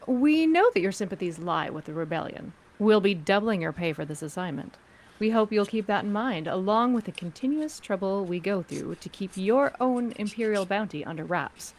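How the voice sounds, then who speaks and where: A woman's voice: mid-range voice, expressive, low-quality recording. Woman as a cool, commanding crime-syndicate spymaster. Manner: measured, formal, controlled. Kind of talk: amateur script table read.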